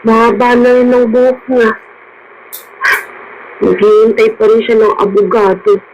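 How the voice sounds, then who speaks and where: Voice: mid-range voice, little pitch movement, a little slow, a little mellow, lo-fi sound, close to an everyday voice. An actor with a Filipino accent playing a sad, devoted child of a prisoner in a radio drama. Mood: sad, worried. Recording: radio drama with faint noise.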